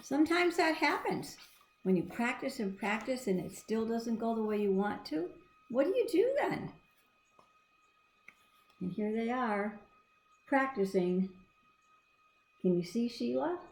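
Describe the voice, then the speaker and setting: Female storybook reader for young children, expressive and conversational, recorded in a reverberant room. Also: a little high, unhurried, pauses often. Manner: expressive, asking the listener questions. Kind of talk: children's read-aloud with asides to young listeners. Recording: echoey room.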